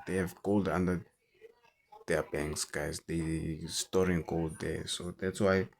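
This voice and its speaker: A man who is not a native English speaker, talking through forex charts in a halting way and repeating words as he goes. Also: sits low, level tone, gravelly, slight background noise. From South Africa. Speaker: forex trader. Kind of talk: chart analysis commentary.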